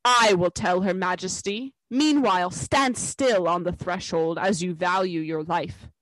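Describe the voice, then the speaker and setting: A woman's voice: lowish voice, measured pace, a bit bright, no room sound, low-quality recording. Woman voicing a growling, fierce wolf guard. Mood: threatening.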